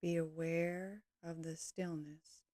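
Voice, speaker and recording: A woman leading a guided yoga nidra meditation, speaking calmly and gently in slow, measured short phrases. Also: on the low side, no echo, studio quality.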